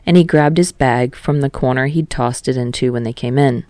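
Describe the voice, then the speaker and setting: A woman narrates a story in a low voice. It is an audiobook read, recorded close and dry with no room sound. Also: moderate pace, warm tone, dry recording. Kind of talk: scripted fiction narration.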